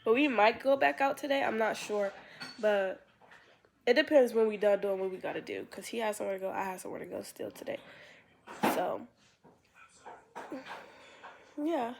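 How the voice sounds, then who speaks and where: A woman's voice: mid-range voice, fairly quick, vocal fry. Chatty young woman, congested, noisy outdoors. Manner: rambling, chatty, trailing off. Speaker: vlogger. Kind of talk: casual vlog talk. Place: outdoors by a lake, then at home. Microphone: phone camera. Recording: loud outdoor noise.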